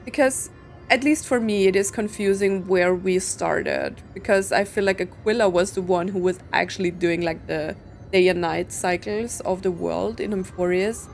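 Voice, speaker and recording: A woman's voice: mid-range voice, normal speed, gravelly, faint music behind. A woman giving casual game commentary, thinking aloud in a puzzled way with lots of filler words. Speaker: streamer playing a story game.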